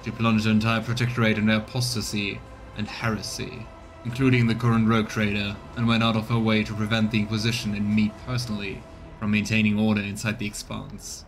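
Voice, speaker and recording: A stern male interrogator from a dark video game, acted by a man reading aloud. He is formal, accusatory and full of contempt. Music sits behind him. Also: fairly low pitch, little pitch movement, brisk, lightly acted. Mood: accusing.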